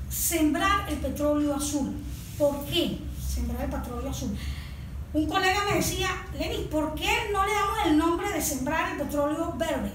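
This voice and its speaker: A Venezuelan woman explaining a project as an interview guest. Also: high-pitched. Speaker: guest presenting an environmental water project. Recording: echoey room, distant mic, faint noise.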